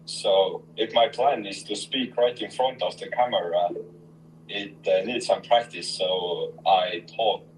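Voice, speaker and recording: A man in an online workshop explaining why he needs to practice speaking on camera, deliberate, with frequent uhs. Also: low-pitched, slight background noise, rough recording. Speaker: workshop participant.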